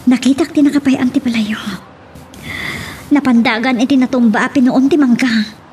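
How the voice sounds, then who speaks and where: A woman playing a kind, practical neighbour in a radio drama, calm and reassuring in manner. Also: high-pitched, normal speed, a little hiss or hum, natural voice. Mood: concerned. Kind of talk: Ilocano radio drama acting.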